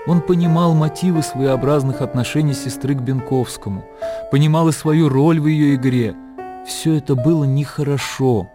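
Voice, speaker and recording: A man narrating a literary radio drama with measured, scripted storytelling delivery. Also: a little high. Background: music and crickets.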